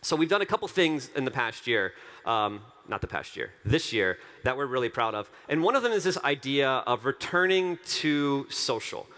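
A man's voice: mid-range voice, very animated, moderate pace, bright, forceful tone. Keynote speaker: man in his thirties, earnest and upbeat, mid-range voice, headset mic on a big stage. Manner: earnest, enthusiastic, repeats phrases for emphasis. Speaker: company co-founder giving a keynote. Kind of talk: conference keynote speech. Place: large dark conference stage.

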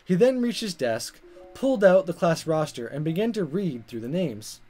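A man reading visual novel text aloud as a let's player, in expressive narration. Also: on the high side, moderate pace. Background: game music.

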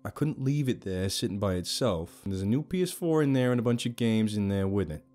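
A man's voice: on the low side, expressive, a little fast, mellow, natural voice. A man playing a wry, candid young man, the casual and sardonic hero of an audio story. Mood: earnest.

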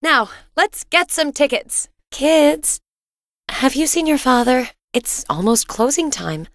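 Video game mom: sweet, doting and curious, with very varied intonation, close dry sound. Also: female voice, sits high, slow, no room sound, full character voice. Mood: chatty, curious. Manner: sweet, expressive, motherly. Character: cheerful, doting suburban wife and mother, inquisitive journalist. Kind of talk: video game character voice acting.

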